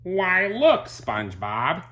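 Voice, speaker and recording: A man's voice: high voice, wildly swooping pitch, takes it slowly, sharp tone, pitched above the performer's own voice, heavily acted. A man voicing a grumpy, snooty cartoon neighbour with a drawling, irritated manner. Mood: sly. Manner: drawling, snarling.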